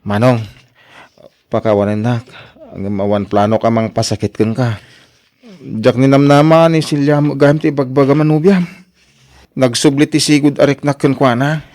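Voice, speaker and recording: Soft, hesitant, apologetic younger brother played by an actor. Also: male voice, mid-range voice, flat delivery, moderate pace, long pauses, close to an everyday voice. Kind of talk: radio drama acting.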